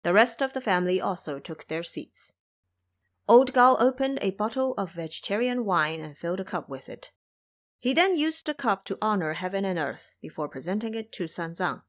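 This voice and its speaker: A woman tells a story as the narrator of an audio drama. Her voice sits at a middle pitch, reading scripted third-person narration. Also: animated, moderate pace.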